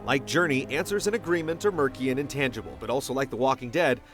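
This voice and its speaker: A man's voice: medium pitch, fast talker. A man reading scripted narration for a documentary about games, with music under his voice.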